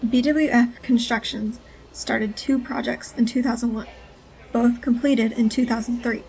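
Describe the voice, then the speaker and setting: Hunted woman informant, audio drama. Higher pitch, little melodic movement, halting and earnest, background noise. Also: fairly even intonation, vocal fry, a little mellow, rough recording. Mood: tense, explaining. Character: frightened, hunted female whistleblower, earnest and urgent.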